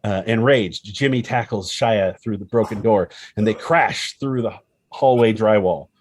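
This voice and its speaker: A man narrates the stage directions of a script. His voice is fairly low and husky. He reads the action dramatically, in short, punchy phrases. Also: measured pace. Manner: punchy, present-tense action reading.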